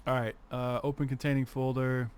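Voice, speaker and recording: A man's voice: mid-range voice, flat delivery, brisk, clear, resonant tone. A young man with a congested, sniffly voice, chatting casually on a livestream, swearing freely and muttering to himself as he works on a music remix. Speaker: streamer making a music remix.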